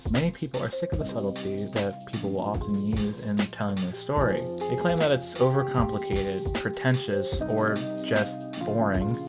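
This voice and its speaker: A young American man narrating an opinionated commentary video, emphatic and at times exasperated. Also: mid-range voice. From United States. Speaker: video essay creator. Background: background music.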